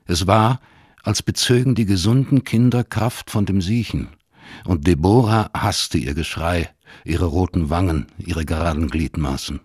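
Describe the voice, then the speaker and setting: A man reads literary narration for a radio play. His voice is low and husky, recorded in a dry studio without room sound. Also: measured pace, darkish tone, no room sound.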